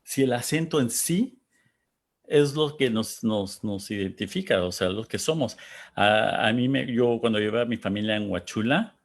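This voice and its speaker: Thoughtful Spanish-speaking man from Texas. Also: fairly low pitch. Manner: thoughtful, searching for words, pauses. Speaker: panel host reading audience comments. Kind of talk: casual reflective talk.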